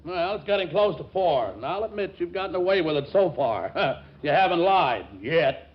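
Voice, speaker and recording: A man's voice: fairly high pitch, expressive, normal speed, soft background music, close to an everyday voice. An actor playing a blustery, exasperated older father, sputtering with indignation in 1940s radio comedy style. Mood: smug, irritated. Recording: dull, faintly noisy 1940s radio broadcast.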